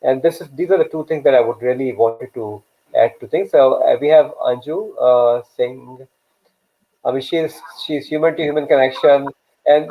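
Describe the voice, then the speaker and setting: A man whose first language is Urdu hosts a live online chat, circling back and repeating words as he works out his point. His voice sits at an ordinary middle pitch. The sound comes through a narrow, tinny line, as if over a phone. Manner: rambling, repeats words while thinking. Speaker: host. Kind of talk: live online talk show.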